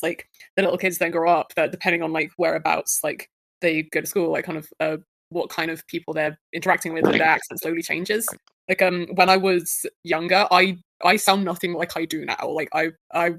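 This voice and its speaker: A woman's voice: fairly low pitch, fairly bright tone, dry recording. Chatty young Southern English woman. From England, Guildford. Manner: chatty and rambling, many fillers. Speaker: player. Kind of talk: casual chat.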